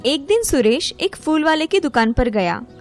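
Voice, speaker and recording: A woman with a high voice narrates a scripted tale. She works as a storyteller, moving through plain narrative sentences, with faint music playing behind her. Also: sing-song, moderate pace.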